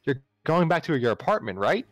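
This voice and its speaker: A man's voice: a little high, big pitch swings, fairly quick, pitched above the performer's own voice. Man voicing an easygoing college girl. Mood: friendly, casual. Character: friendly, easygoing young female college student. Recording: music playing behind the voice.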